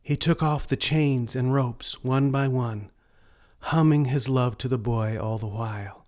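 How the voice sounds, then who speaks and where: Slow-paced man reading a children's story. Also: medium pitch, a little mellow. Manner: slow, deliberate read-aloud delivery. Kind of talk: scripted children's story narration.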